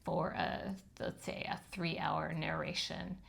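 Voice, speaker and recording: A woman over fifty with a high-pitched voice, giving frank, chatty advice on self-publishing with plenty of ums. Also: little pitch movement, slow, gravelly, a bit bright. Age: 50s or older. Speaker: channel host teaching self-publishing. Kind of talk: casual instructional talk to camera.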